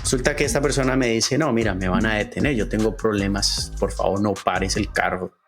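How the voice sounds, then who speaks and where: Podcast host, Colombian man from Cali, late thirties, slightly low voice, sincere and deliberate personal monologue. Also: faint music behind. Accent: Colombian Spanish from Cali. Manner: earnest, halting, with frequent "eh" fillers and slow deliberate stretches.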